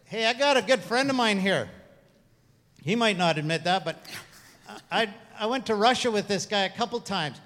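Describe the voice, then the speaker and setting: A man with a high-pitched voice hosts a church service and introduces a guest. He is informal and halting, often repeating phrases. Long hall reverb surrounds his voice. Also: lively intonation, a bit bright. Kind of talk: informal spoken introduction to a congregation. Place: large room, church service.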